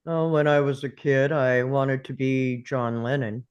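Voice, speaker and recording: A woman's voice: extremely low pitch, steady tone, a little slow, darkish tone, clear, resonant tone, lo-fi sound. A woman reading a laid-back, blunt male school janitor who needles casually in a table read. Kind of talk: table read of a film script.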